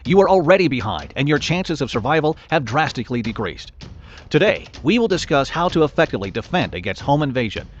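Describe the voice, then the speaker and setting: A man's voice: fairly high pitch, very animated, quick pace, dry recording. A man reading scripted mock survival instructions as a broadcast announcer. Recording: dull lo-fi audio, faint noise.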